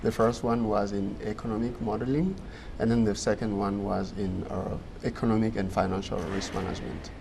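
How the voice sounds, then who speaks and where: A man in his thirties from Guinea, a native French speaker, answering interview questions in an earnest, measured way. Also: lowish voice, steady tone, vocal fry, darkish tone, slight background noise. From Guinea, West Africa. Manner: earnest, measured, laughs easily. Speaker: guest.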